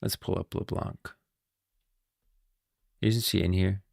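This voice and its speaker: A man's voice: sits low, steady tone, slow pace, gravelly, a little mellow, no echo, clean studio sound. A man streaming casual commentary on game lore, musing aloud and questioning as he goes.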